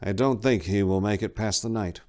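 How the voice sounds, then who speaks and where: An earnest, devout warrior monk played by a male storyteller, speaking solemnly and with emphasis. The delivery suits a dark fantasy storybook. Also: lowish voice, normal speed, lightly acted. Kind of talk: dramatic storybook reading.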